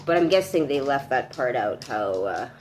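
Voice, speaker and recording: Low-pitched, lively woman reading aloud. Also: normal speed, soft background music. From Canada. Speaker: host reading a book aloud with commentary. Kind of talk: read-aloud with informal commentary.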